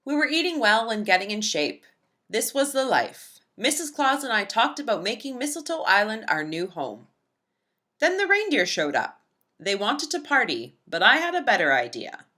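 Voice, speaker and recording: Woman doing a kids book read aloud, really animated, bright clear-cut voice, lots of expression. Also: a little high, moderate pace. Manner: animated, emphatic storytelling.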